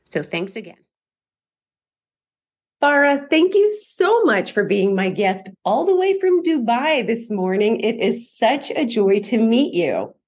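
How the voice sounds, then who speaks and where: A woman's voice: fairly high pitch, very animated, slow pace, a little mellow. A middle-aged woman hosting a podcast from home, chatting effusively and restarting her sentences often. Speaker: podcast host. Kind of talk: casual chat and interviewing.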